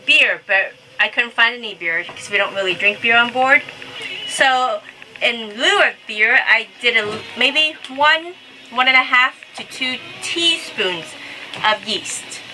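A woman's voice: a little high, sharp tone. A woman chatting casually and cheerfully as she hosts a cooking vlog in a small boat galley. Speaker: vlog host. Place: small sailboat galley indoors. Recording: noisy on-camera boat galley audio.

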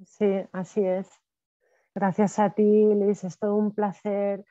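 A woman in her thirties, a guest speaker talking reflectively on a video call from home, with soft fillers and restarts. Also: mid-range voice, little pitch movement, slow, no echo. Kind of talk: conversational talk. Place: home room with wood ceiling. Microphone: video call with earphones. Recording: slightly dull video call audio.

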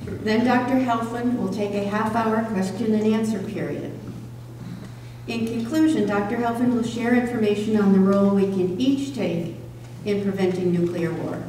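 An older woman at a podium in a community hall, reading a prepared introduction in a measured, careful way. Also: mid-range voice, dark tone. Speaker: event organizer introducing a speaker. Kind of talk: prepared introduction read from notes. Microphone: stand microphone at podium, picked up distantly. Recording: echoey hall from far off, faint noise.

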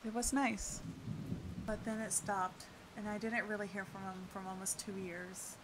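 A thoughtful woman in her thirties, acted by an amateur woman in a comic dub. She talks conversationally at a medium pitch, and a faint hiss sits on the recording. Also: level tone, unhurried, close to an everyday voice. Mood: wistful, reflective. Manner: casual, conversational. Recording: faint hiss on home mic.

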